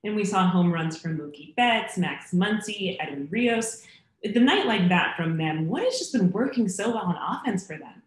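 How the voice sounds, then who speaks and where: A woman's voice: medium pitch, animated, moderate pace. Woman reporter with a roomy sound. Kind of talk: press conference questions.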